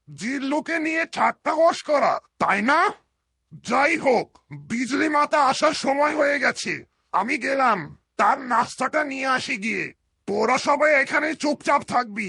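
A man's voice: very high voice, creaky, bright, forceful tone, no echo, studio quality, full character voice. A man voicing a bullying giant bird who serves a witch, taunting and bossy, for a cartoon. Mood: menacing. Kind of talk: cartoon voice acting.

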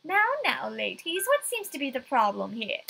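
A woman voicing a suave, wealthy married man in a visual novel, flirtatious and condescending in an oily, drawn-out way. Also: high voice, very animated, gravelly, fairly bright tone, a little hiss or hum, exaggerated voice. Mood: smooth flirting. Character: suave, flirtatious wealthy husband, Mister Wright.

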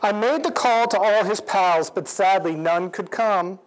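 A man in his forties reading a picture book aloud to children in an expressive, measured storytelling way. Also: high voice, low-quality recording. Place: indoors, library event room. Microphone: lapel. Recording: clear background noise in room.

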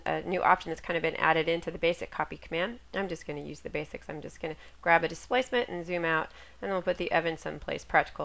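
A middle-aged woman, a little raspy from a cold, hosts a chatty, upbeat software tutorial over a screen recording with a webcam inset. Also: lowish voice. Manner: chatty and upbeat, laughs at her own jokes. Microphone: webcam inset over screen capture.

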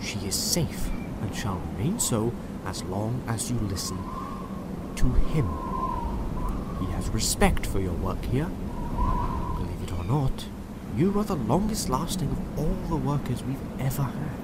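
An actor playing a controlling, condescending agent of a dystopian ruler in an audio drama, speaking gravely and deliberately. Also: male voice, mid-range voice, big pitch swings, heavy background noise.

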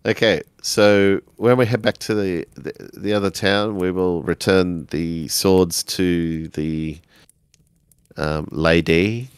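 A man narrating his character's actions in a tabletop role-playing game, halting as he searches for words. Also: sits low, fairly even intonation, smooth, no echo, clean studio sound.

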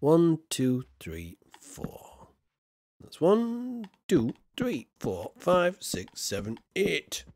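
Tired man streaming with lively pitch. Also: drawn-out words, dry recording. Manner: jokey, mimics accents in short bursts, counts under his breath. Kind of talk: casual live-stream chat while building.

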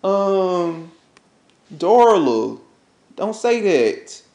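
Woman playing a lower-voiced, pleading apologetic boyfriend. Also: very animated, dark tone, full character voice. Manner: halting, placating, firm. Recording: echoey, roomy sound.

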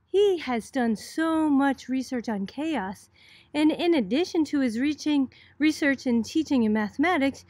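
Chatty woman from boston explaining stuff for class, pretty high voice, dry sound. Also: lively intonation, a little slow, a little mellow, no room sound. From Boston, United States. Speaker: college math lecturer. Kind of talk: casual educational video lecture.

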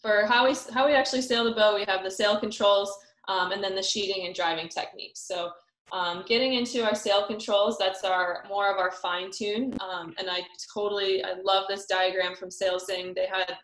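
A woman's voice: mid-range voice, fairly even intonation, moderate pace, a bit bright. Young female presenter, casual and chatty, echoey video-call sound. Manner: casual, many fillers. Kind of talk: online sailing seminar. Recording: roomy echo on call audio.

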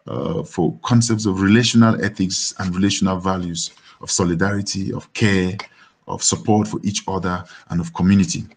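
A man with a Cameroonian accent gives an academic lecture on a video call in a husky voice, deliberate and halting, sometimes repeating words. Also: lowish voice. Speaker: academic philosopher, webinar speaker. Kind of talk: academic lecture over slides. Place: dim room indoors, on a video call. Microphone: computer microphone on a video call.

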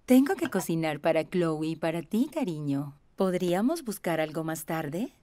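Busy sweet cartoon mom, super sing-songy, dry studio sound. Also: female voice, deep voice, very animated, moderate pace, mellow, no echo. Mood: gentle, busy. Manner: soothing, motherly endearments. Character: busy, gentle young mother of two children. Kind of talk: animated children's series dub.

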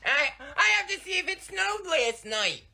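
A shrill, excitable little boy who yells and tattles at every turn, as a man puts him on. His voice is bright and high, and it leaps up and down. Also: measured pace, vocal fry, exaggerated voice. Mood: shouty excited.

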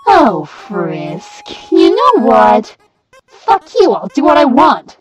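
A woman's voice: a little high, huge pitch swings, takes it slowly, muffled recording, soft background music, heavily acted. A woman voicing a mischievous, gleefully murderous child in a comedic comic dub, theatrical and mocking. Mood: menacing, teasing. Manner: theatrical, mocking, prone to shouting.

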